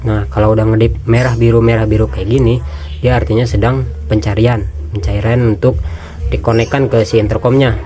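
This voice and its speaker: A man's voice: fairly low pitch, little pitch movement, brisk, dark tone, soft background music. A man gives a casual, informal product walkthrough, explaining step by step and repeating phrases. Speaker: product reviewer and seller.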